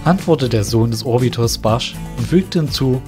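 A man reading the narration of an amateur radio play, in a steady, measured way. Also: mid-range voice, over music. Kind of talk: scripted storytelling narration.

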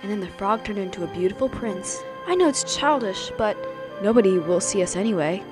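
A woman's voice: medium pitch, animated, fairly quick, over music, lightly acted. A woman playing a dreamy, fairy-tale-minded young woman in an amateur anime comic dub. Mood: wistful.

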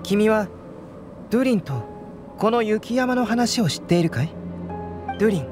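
A man playing a composed young alchemist knight in a video game, explaining things in a measured, scholarly, analytical manner. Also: high-pitched, very animated, music in the background. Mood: calm, analytical.

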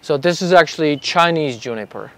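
A man's voice: a little high, animated, a little fast, a bit bright. Man from Czechoslovakia explaining bonsai outdoors. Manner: explains patiently with many fillers and restarts. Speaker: bonsai artist. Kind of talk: casual explanation. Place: outdoor garden under a wooden pergola.

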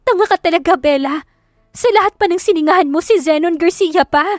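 A woman's voice: very high-pitched, a little fast, no room sound, studio quality, voice pushed up. A Filipino woman voicing an emotional young wife who scolds herself in exclamations, in an audio love story. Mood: mortified scolding. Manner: exclamatory, self-reproaching. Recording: music behind the voice.

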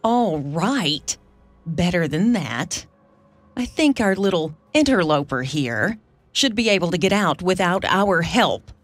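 A woman's voice: fairly low pitch, expressive, slow, no echo, soft background music. An actress playing a cold, suspicious woman inventor in a video game, curt and accusing in manner. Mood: cold, scornful. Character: stern, suspicious, cold female inventor and wife.

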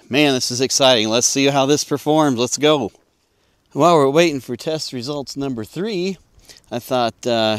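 A man's voice: mid-range voice, clean tone. An older man gives a casual, hands-on narration. His delivery is folksy and easygoing, in a mid-range voice. The sound is dry, with very little echo. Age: 50s or 60s. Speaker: amateur radio vlogger. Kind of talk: casual narrated field demonstration. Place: outdoors in an open farm field and inside a parked car.

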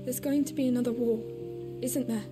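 An actress playing a gentle, caring young girl in a video game drama, soft and wistful. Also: fairly high pitch, steady tone, fairly quick, over music, lightly acted. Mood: wistful, worried.

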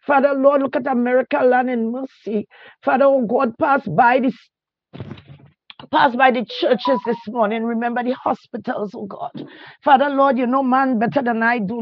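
A man leading a spontaneous spoken prayer, pleading fervently and closing each phrase with a softer "oh God" or "Lord". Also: very high voice, fairly even intonation, normal speed, dry recording. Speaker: prayer leader. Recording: dull, dry radio recording.

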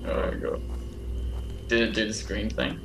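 A man's voice: on the low side, fairly even intonation, normal speed, noisy background. A young man playing a horror game with friends, nervous and laughing a lot, talking casually. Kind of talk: casual chat over game. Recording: game music behind.

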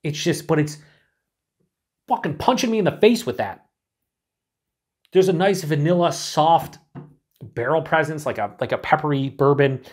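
A man's voice: on the high side, animated, moderate pace, pauses often. Chatty, enthusiastic middle-aged man reviewing beer. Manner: chatty and enthusiastic, swears, drops to breathy whispers while sniffing. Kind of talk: casual solo review to camera. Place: small room indoors.

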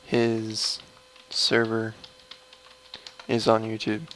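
A young man with a low voice, rambling casually through gameplay commentary at his computer. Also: completely flat delivery, a bit bright, slight background noise. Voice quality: low, gravelly voice. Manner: slow, mumbly and rambling, trailing off. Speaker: gamer streaming a mining session.

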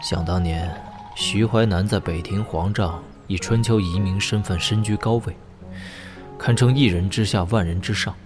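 Low-voiced wary young nobleman played by a man. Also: moderate pace, natural voice. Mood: wary, musing. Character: wary young nobleman wanderer musing to himself. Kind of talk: audio drama inner monologue. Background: background music.

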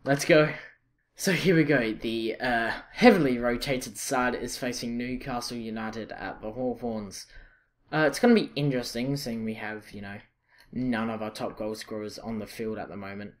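A man's voice: medium pitch, normal speed. A young man with a Scottish accent talks over his football game footage in a loose, chatty way. He fills gaps with ums and uhs and corrects himself mid-sentence, and his voice jumps up when things go well. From Scotland. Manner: chatty, excitable, many fillers and self-corrections. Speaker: gaming channel host. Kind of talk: casual gameplay commentary.

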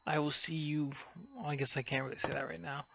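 A man gives casual video game commentary in a slightly high, creaky voice. His intonation stays flat, and there is clear noise with game ambience behind him. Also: fast talker, lo-fi sound. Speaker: gamer narrating a roleplay playthrough.

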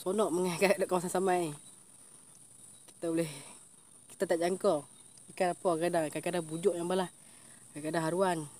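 A young man with a Malaysian Malay accent giving casual commentary while fishing outdoors, bursting into excited shouts when a fish strikes. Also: high-pitched, a little fast, long pauses, a little hiss or hum. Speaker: fishing vlogger. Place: outdoors by a swampy plantation stream.

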